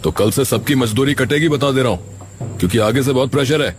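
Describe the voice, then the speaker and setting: A man's voice: medium pitch, a little fast, slight background noise, natural voice. A man playing a bossy, hearty middle-aged contractor in a cartoon story.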